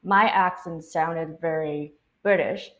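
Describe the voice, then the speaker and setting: A woman from Taiwan with a fairly low voice speaks English as a second language after Mandarin. Her accent is Taiwanese with an American influence, and she talks casually as a co-host on a live language stream. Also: steady tone, slow, fairly bright tone. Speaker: language teacher co-hosting a livestream.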